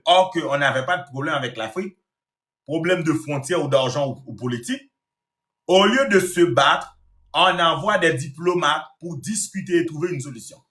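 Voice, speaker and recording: A man's voice: fairly high pitch, bright, forceful tone, echoey room. A Haitian-born man talking straight to his viewers in a scolding monologue, repeating himself for emphasis. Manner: scolding, repetitive, addresses the audience directly. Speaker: online commentator.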